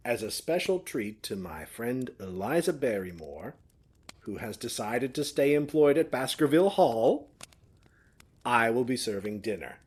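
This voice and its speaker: An actor playing a courteous, warm-hearted gentleman host of an old manor in an audio drama. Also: mid-range voice, big pitch swings, measured pace, husky. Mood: gracious, sincere.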